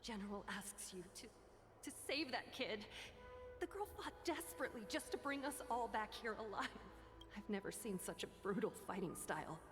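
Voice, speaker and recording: A woman's voice: mid-range voice, expressive, moderate pace, music in the background, close to an everyday voice. A woman voicing a distressed battlefield survivor in a video game, pleading and emotional. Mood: shaken. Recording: long reverberation.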